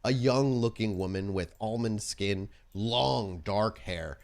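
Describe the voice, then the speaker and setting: A man's voice: fairly low pitch, slow. A man working as game master, improvising descriptive, informal narration for a tabletop roleplaying game.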